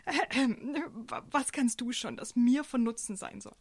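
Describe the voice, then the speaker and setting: A woman's voice: fairly high pitch, normal speed, a little mellow, slightly rough, no room sound. A woman playing a snooty, scoffing talking tuber plant in a children's radio play. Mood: scornful, mocking. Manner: snooty, scoffing, exclaiming and giggling. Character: haughty, mocking, high-voiced talking tuber plant.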